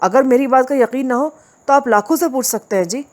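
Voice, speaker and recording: Nervous young villager in an audio drama, high and pleading, put on by a woman. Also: brisk, darkish tone, close to an everyday voice. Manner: pleading, protesting innocence.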